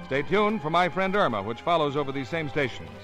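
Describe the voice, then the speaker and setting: A man's voice: a little high, sing-song, brisk. A man announcing in a polished, emphatic, scripted 1950s radio advertising style. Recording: 1950s radio broadcast, faint music.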